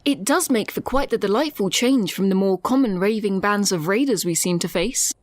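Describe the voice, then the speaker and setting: A woman's voice: mid-range voice, normal speed, no echo, studio quality, lightly acted. Woman acting a polite, formal robot servant. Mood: polite, composed. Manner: formal, precise. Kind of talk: post-apocalyptic audio drama acting.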